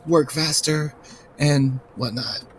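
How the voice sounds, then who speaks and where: A man's voice: fairly high pitch, takes it slowly, a little hiss or hum. A man playing a tabletop role-playing game, switching between improvised in-character talk and narrating his character's actions.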